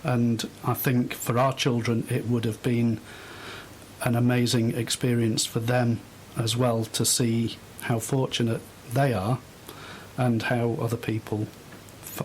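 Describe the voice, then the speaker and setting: Middle-aged male interview guest, measured and unhurried, pausing to think, faint rumbly noise behind. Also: little pitch movement, rough recording. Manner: hesitant, thoughtful, halting phrases. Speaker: interview guest, volunteer host. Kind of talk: unscripted radio interview conversation.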